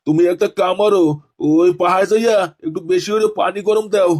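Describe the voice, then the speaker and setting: A man voicing a foul-mouthed old grandfather in a comic cartoon, halting, stammering and groaning with backache. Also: high-pitched, fairly even intonation, a little mellow, lightly acted. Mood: pained, halting.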